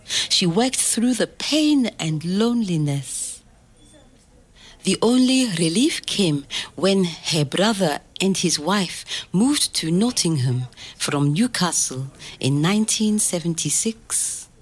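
A woman playing a loving, firm grandmother who tells a bedtime story in a measured, tender, expressive way. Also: on the low side, slightly rough, exaggerated voice. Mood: grave. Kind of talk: scripted dramatic story narration.

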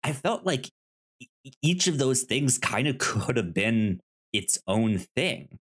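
A man co-hosting a movie podcast, talking casually and haltingly, often repeating words as he thinks aloud. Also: medium pitch, sing-song, fairly bright tone, husky. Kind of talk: casual film discussion.

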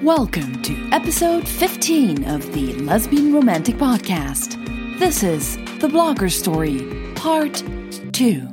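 A woman's voice: mid-range voice, very animated, takes it slowly, over loud music. A woman narrating scripted audio fiction as a podcast host.

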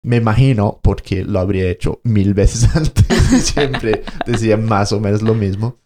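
A man's voice: fairly low pitch, normal speed, background noise. A man co-hosting a podcast, telling a story in casual conversation, hesitating and searching for words as he goes. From Colombia. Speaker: podcast co-host.

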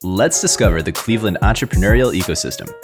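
Deep-voiced man hosting a podcast. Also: animated, fast talker, over music. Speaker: podcast host. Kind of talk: scripted intro then casual interview.

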